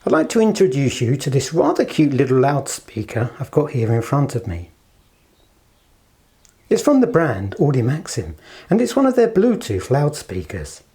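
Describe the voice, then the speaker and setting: Husky-edged man with a lively, mellow delivery. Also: mid-range voice, moderate pace. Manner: polite, chatty, fond of understated superlatives. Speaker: product presenter for an audio shop. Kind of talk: casual product demonstration. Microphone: handheld camera held in one hand.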